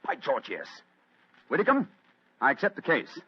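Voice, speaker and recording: Wry detective, husky, lively swooping voice, muffled old radio. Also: male voice, mid-range voice, moderate pace, heavily acted. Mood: intent. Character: brilliant, wry male detective, sharp and amused. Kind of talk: 1940s radio acting. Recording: dull lo-fi old radio recording.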